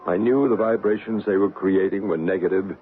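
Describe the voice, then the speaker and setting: An actor playing a calm, authoritative paranormal investigator in a vintage radio drama, speaking in a measured, probing way. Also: male voice, sits low, soft background music, natural voice. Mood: solemn narrating. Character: calm, authoritative male paranormal investigator narrating his case. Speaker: psychic investigator and narrator. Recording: noisy, narrow-band old radio broadcast.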